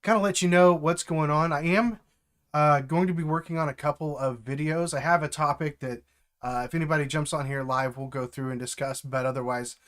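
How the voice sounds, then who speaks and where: Middle-aged male streamer, congested, casual and halting delivery with lots of fillers, smooth and fairly high voice. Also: fairly even intonation, fairly bright tone. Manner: halting, with many fillers and restarts. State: stuffed up from allergies. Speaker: streamer and developer mentor. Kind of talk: casual live-stream chat.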